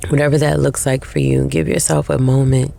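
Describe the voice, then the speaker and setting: Mellow woman guiding meditation, heavily creaky voice. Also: extremely low pitch, flat delivery. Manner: slow, soothing, with long pauses between short phrases. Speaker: meditation guide. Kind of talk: guided meditation narration.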